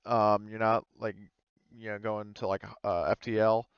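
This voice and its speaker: A man talking casually as a tabletop game master, halting as he thinks aloud through the rules. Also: lowish voice, little pitch movement, clean tone, dry recording, highs cut off.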